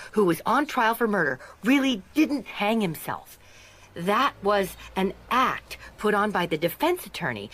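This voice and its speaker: An actress playing a chatty, friendly, inquisitive woman in a radio mystery drama. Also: medium pitch.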